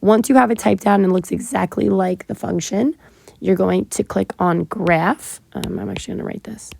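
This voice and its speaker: A woman teacher, sick and hoarse, patiently walking her students through math homework step by step with frequent ums. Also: mid-range voice, normal speed, a little mellow. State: sick, partly lost voice. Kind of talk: recorded math lesson walkthrough.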